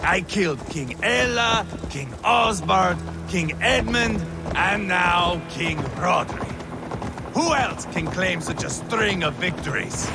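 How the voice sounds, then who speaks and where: A man's voice: sits high, lively intonation, takes it slowly, creaky, very noisy, full character voice. A man acting a cruel, theatrical Viking warlord in a video game, gloating and mocking as he savors his words.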